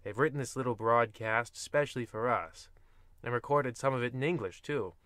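A grandiose old-time radio horror host, acted by a man, formal and theatrical. The voice is forceful and bright at a middle pitch, recorded dry and close. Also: normal speed, no echo, lightly acted. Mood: formal, proud.

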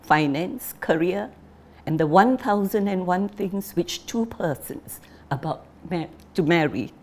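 A woman's voice: fairly low pitch, a little mellow. A woman reading as a worried old father. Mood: earnest. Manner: earnest, measured letter reading. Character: worried old father writing to his son.

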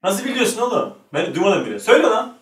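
In an echoey room, a man banters with teasing slang. His voice is on the higher side and swings widely in pitch as he chats quickly. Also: big pitch swings. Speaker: quiz show contestant. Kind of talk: casual bantering chat. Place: small curtained studio room. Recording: echoey room, distant mic, faint noise.

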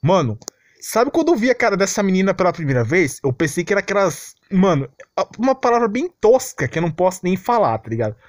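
Exasperated Brazilian young man with swinging pitch. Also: sing-song, fast talker, no echo, clean studio sound. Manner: slangy, exasperated, complaining. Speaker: anime commentary vlogger. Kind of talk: casual unscripted ranting commentary.

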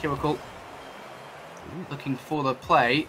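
British male caster, crisp bright voice, chatty play-by-play, light hiss behind. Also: medium pitch, fairly even intonation, slow, low-quality recording. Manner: chatty, self-deprecating, jokey asides. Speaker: esports caster. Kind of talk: esports play-by-play commentary.

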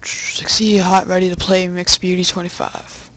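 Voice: mid-range voice, little pitch movement, normal speed, a bit bright, a little hiss or hum, low-quality recording. A young adult with a crude, sweary way of talking, chatting casually and making prank phone calls. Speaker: prank caller. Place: indoors at a computer.

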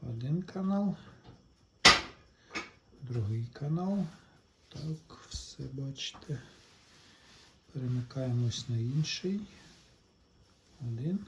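A man's voice: mid-range voice, lively intonation, slow pace, long pauses, highs cut off, noisy background. A man hosting a casual hands-on electronics vlog, talking in short step-by-step remarks and addressing viewers as friends. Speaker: host of a hobby electronics channel.

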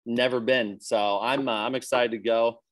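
A man's voice: medium pitch, fairly even intonation, normal speed. A young American man, a baseball player, chatting easily as a podcast guest over a video call, with plenty of ums. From United States. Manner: easygoing, chatty, many fillers. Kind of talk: casual interview on a video call.

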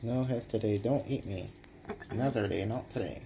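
A man's voice: fairly low pitch, moderate pace, gravelly. A man with a Barbadian accent casually explaining cooking steps as a home cook. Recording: noticeable background noise.

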